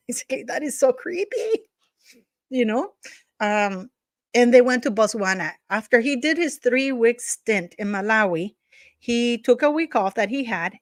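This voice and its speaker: A woman's voice: fairly high pitch, no echo. Scornful woman, dry close sound. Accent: non-native English accent. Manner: scornful, gossipy, emphatic, drops curse words. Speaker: YouTube commentator. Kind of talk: casual ranting commentary. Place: at home.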